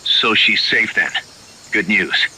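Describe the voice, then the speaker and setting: Army buddy, lowish voice, brisk, easygoing, game radio support, noisy background with insects. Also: male voice, pushed and bright, heavily acted. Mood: upbeat, curious. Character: brisk, easygoing male military comrade on radio. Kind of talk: dramatic video game acting.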